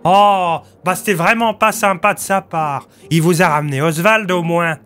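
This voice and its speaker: A man's voice: sits high, normal speed, a bit bright, pitched above the performer's own voice. A man voicing a naive, dim-witted, chatty police sergeant in an audio story, hesitant and guileless. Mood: innocent, surprised.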